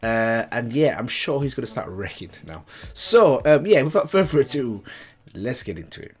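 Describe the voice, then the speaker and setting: A man hosting a reaction video, talking casually and excitably. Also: medium pitch, moderate pace. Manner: animated, excitable.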